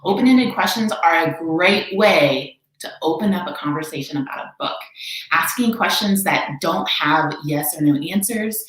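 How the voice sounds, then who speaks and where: A woman children's librarian presenting a storytime read-aloud with expressive, emphatic delivery. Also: fairly low pitch. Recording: very echoey room.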